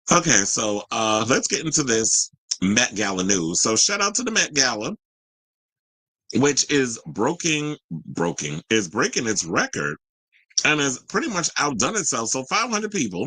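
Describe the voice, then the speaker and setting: A man's voice: on the low side, bright, forceful tone, husky, no echo, rough recording. A man hosting a talk show, giving casual, opinionated entertainment news commentary with slangy asides as he reads.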